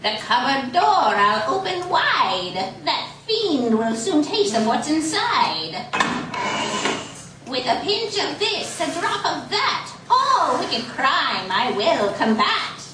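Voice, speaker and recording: A woman's voice: high voice, very animated, takes it slowly, exaggerated voice. A woman playing a mysterious old good witch who chants sing-song rhymes in a 1940s radio serial. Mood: eerie, scheming. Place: live performance in a coffee house. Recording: distant echoey live room with clear noise.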